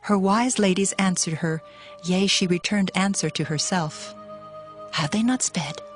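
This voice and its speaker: A woman giving an expressive storytelling recitation in a dramatized scripture. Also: medium pitch, unhurried, soft background music.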